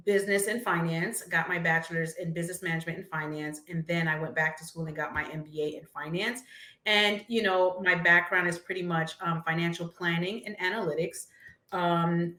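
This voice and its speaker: Bright-voiced New woman in an echoey room. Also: lowish voice. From Brooklyn, New York. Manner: friendly, many um fillers. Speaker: guest, finance entrepreneur. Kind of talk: interview answers, conversational.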